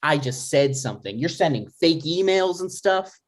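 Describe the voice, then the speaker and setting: A man playing a skeptical grown son with a dry, halting delivery in a conversational comedy table read. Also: on the high side, animated. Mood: exasperated.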